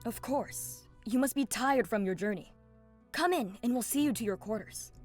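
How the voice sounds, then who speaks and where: A woman's voice: fairly high pitch, lively intonation, normal speed, faint music behind, close to an everyday voice. A woman playing a courteous, welcoming female dragon in a fantasy audio drama, polite and gracious.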